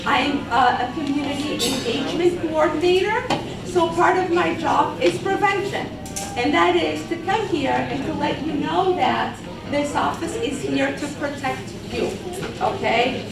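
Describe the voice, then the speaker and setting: A woman's voice: high-pitched, measured pace, gravelly. A woman from Portugal, a community engagement coordinator, giving a patient workshop talk and often checking in with "okay?". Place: large public hall with an audience. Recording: echoey hall from far off, crowd noise.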